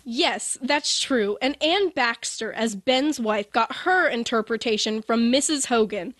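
A woman's voice: on the high side, measured pace, fairly bright tone, no echo, studio quality. A woman as a Hollywood reporter in scripted 1950s radio style, polished and gushing.

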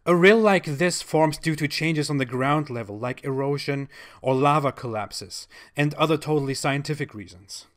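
A man gives sceptical commentary in a fairly high voice. He explains things in a matter-of-fact, dismissive way. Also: a little slow, a bit bright.

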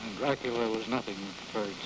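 A man's voice: lowish voice, fairly even intonation, quick pace. Newsman on a noisy newsreel track. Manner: blunt, informal, often rephrases questions. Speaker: news interviewer. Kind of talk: news interview questioning. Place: outdoors on a building porch. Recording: noisy 1950s newsreel recording.

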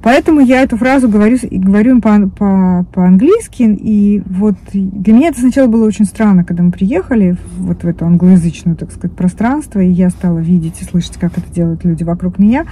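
A woman in her fifties talks to her phone camera from the driver's seat of a parked car. Her voice is soft, dark and warm. She rambles loosely, restarting words and filling pauses as she goes. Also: medium pitch, husky, low-quality recording. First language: Russian. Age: 50s. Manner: rambling, many restarts and fillers. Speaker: vlogger. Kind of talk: casual chat to camera. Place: parked car, indoors of vehicle. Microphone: phone camera on dashboard.

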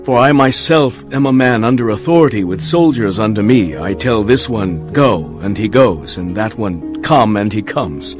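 A man's voice: lowish voice, lively intonation, moderate pace, hardly any pauses, music in the background, lightly acted. An actor playing an earnest man beseeching his lord in a dramatised audio Bible. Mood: humble, earnest. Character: earnest, pleading men beseeching their lord.

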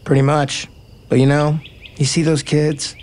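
An actor playing an easygoing, kind, joking baseball player in a naturalistic romantic comedy audio drama. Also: male voice, fairly high pitch, level tone, a little slow, a little mellow, natural voice. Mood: warm, candid. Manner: casual, joking, frank.

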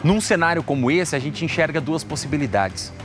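A young Brazilian man hosts a live news programme from a studio. His voice sits on the high side, and he comments conversationally over a clear music bed. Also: lively intonation, a little fast. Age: young adult. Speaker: TV news presenter. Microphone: lapel microphone.

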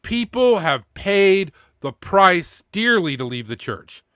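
A middle-aged man speaks off the cuff in a forceful, slightly high voice. He is blunt and indignant and swears freely. The sound is dry and close, from a studio microphone. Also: big pitch swings, takes it slowly, smooth, dry recording. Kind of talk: opinionated unscripted commentary. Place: small indoor home studio. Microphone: close studio microphone with pop filter, headphones.

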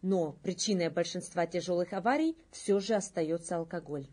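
Husky, mellow woman reading news. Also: lowish voice, moderate pace. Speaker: news presenter.

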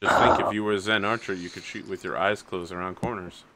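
A man's voice: deep voice, fairly even intonation, quick pace, noisy background. A young man running a tabletop game as game master over a video call, with a dry, wry way of talking.

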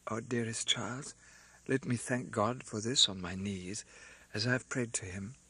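A man voicing a gentle, anxious young wife in an audiobook, soft, hushed and tender. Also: fairly low pitch, steady tone, moderate pace, slightly rough. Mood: grateful. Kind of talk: audiobook character acting.

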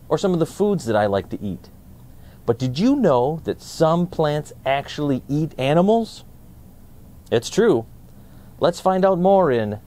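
Mellow-voiced man reading for children. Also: a little high. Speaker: host of a children's read-aloud channel. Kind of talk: read-aloud nonfiction storytelling for children.

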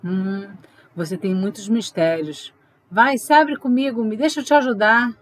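A woman's voice: medium pitch, normal speed, natural voice. A woman playing a concerned, questioning love interest in an audio drama, coaxing and inquisitive.

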